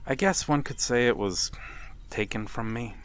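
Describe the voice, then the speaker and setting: A man playing a wistful, courteous vampire in a gentle, formal ASMR roleplay. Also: mid-range voice, lightly acted. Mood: melancholy, reflective. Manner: gentle, formal, halting with soft chuckles and sighs. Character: wistful, courteous old-world male vampire stranger by a harbour. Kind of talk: ASMR audio roleplay, slow intimate monologue.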